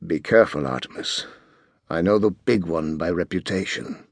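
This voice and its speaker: A man voicing a huge, calm, understated bodyguard in an audiobook, restrained and terse. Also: sits low, moderate pace, no room sound, clean studio sound, voice pushed down. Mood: calm, wary.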